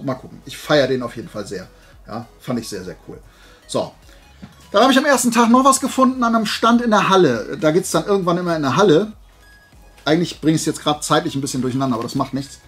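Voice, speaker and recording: A man's voice: medium pitch, a bit bright, husky. A man from Germany chatting casually in a haul vlog as a collector, in a rambling, hesitant way, often correcting himself. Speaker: YouTube collector showing flea market finds.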